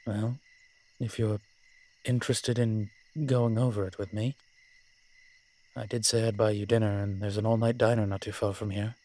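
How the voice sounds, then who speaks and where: A man playing a suave, teasing demon professor in an audio roleplay, with a wry, conversational delivery and a husky voice. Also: fairly low pitch, flat delivery, moderate pace, long pauses, mellow, natural voice. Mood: inviting, casual. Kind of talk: intimate audio roleplay acting.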